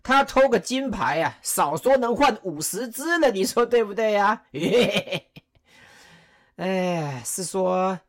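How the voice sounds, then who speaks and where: A woman's voice: medium pitch, expressive, takes it slowly. A woman playing a jovial, teasing male warlord chancellor in an audio drama, chuckling and mock-stern.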